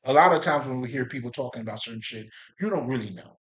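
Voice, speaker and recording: A man's voice: medium pitch, expressive, fast talker, fairly bright tone. A man from Haiti talking casually and profanely to his viewers in a rambling monologue about spirituality, often breaking off to laugh and repeat himself. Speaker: spiritual video creator.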